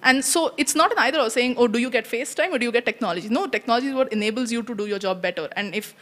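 A woman's voice: on the high side, very fast, a bit bright. A woman gives a conversational, explanatory answer as a panelist. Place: indoor conference stage. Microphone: handheld microphone.

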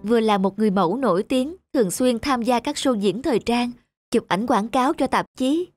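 A woman plays a young fashion designer and model in a cartoon drama, proud but wounded. Her voice is fairly high, with music clearly behind it. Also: a little fast, natural voice. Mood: reflective. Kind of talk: Vietnamese cartoon drama dubbing.

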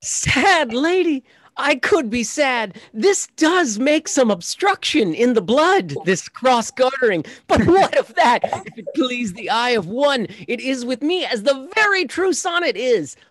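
An actor playing a pompous, smirking, lovestruck steward in a Shakespeare comedy reading, drawn out and leering. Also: male voice, extremely high pitch, sing-song, unhurried, fairly bright tone, dry recording, heavily acted. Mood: smug, giddy. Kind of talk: Shakespeare reading over video call. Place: indoor room on a video call.

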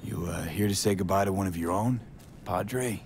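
Low-voiced young mercenary played by a man. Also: moderate pace, darkish tone, slight background noise, close to an everyday voice. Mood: low-key, curious. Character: young male street mercenary, guarded and mournful. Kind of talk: naturalistic video game acting.

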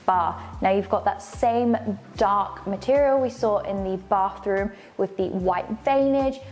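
A woman's voice: medium pitch, slow, darkish tone. Enthusiastic young woman presenting. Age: young adult. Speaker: presenter of a luxury home tour show. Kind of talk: presenting a house tour. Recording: light background music. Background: faint music.

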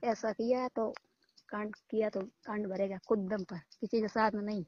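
A woman's voice: mid-range voice, fairly even intonation, normal speed, a little mellow. A woman with a Rajasthani Marwari accent arguing heatedly on a phone call, combative and coarse, swearing. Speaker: caller in a recorded phone call. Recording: muffled, echoey phone call recording.

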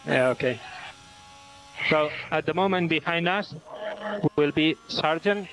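A man's voice: a little high, measured pace, a bit bright. A man working as a race engineer, giving terse callouts to a driver over team radio. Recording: noisy motor racing team radio. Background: vehicle and engine noise.

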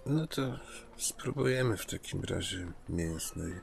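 A man improvising in character as a player in a tabletop role-playing game, with halting phrasing. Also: low-pitched, expressive, vocal fry.

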